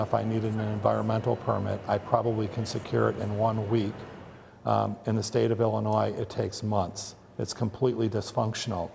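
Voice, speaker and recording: Gravelly man speaking deliberately. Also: fairly low pitch, in a large hall, background noise. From Chicago area. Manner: deliberate, with many fillers. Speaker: factory owner interviewed. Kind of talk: interview soundbite.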